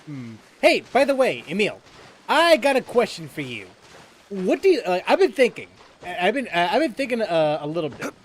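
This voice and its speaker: A gaming streamer giving casual let's-play commentary, talking in a halting, stammering way full of restarts and fillers. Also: mid-range voice, big pitch swings.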